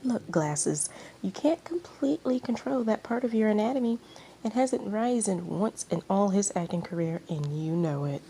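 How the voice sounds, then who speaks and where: A woman's voice: mid-range voice, animated, normal speed, dark tone, voice pushed up, close to an everyday voice. A woman voicing a smug, spiteful, flirty actress who spreads rumors, over faint background noise. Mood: smug, insistent.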